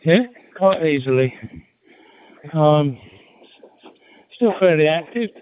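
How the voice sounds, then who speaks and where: A middle-aged or older man chatting as an amateur radio operator, halting and understated, over a radio link. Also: fairly high pitch, lively intonation, no echo. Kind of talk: casual radio chat. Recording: narrow-band, phone-like radio link.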